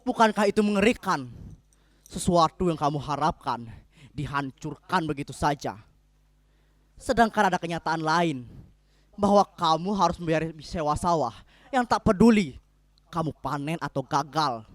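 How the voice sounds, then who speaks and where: A stubborn, pessimistic husband, acted by a man in a staged dramatic reading. His fairly high voice is declamatory and rhetorical, with theatrical pauses. The sound is close and dry. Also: animated, no room sound, natural voice. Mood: grim, argumentative.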